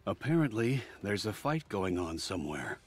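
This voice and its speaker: A man's voice: on the low side, very animated, moderate pace, slightly rough, soft background music. An actor voicing an earnest, admiring but cautious man in a video game, talking like an articulate storyteller. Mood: cautious, firm. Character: earnest, admiring, cautious male fan of war heroes.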